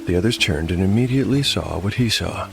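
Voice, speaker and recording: A man with a low voice reads as narrator and host of a fantasy audio show. His delivery is measured and articulate, with music clearly underneath. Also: expressive. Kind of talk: scripted dark fantasy narration.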